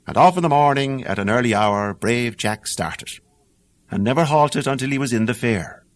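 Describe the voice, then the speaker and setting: A man with an Irish accent narrating a fairy tale audiobook in a lilting, animated storytelling manner. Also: mid-range voice, normal speed.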